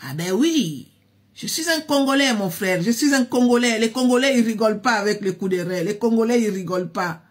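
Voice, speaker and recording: A woman voicing a brash, boastful young man who brags loudly about himself. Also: on the high side, expressive, brisk, voice pushed up. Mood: boasting. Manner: boastful, loud and swaggering.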